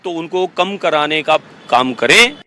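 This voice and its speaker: A man's voice: a little high, lively intonation, measured pace, bright, forceful tone. A male politician voicing an informal complaint to the press, plain-spoken, listing points repetitively. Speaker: politician, legislator. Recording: faint outdoor traffic noise.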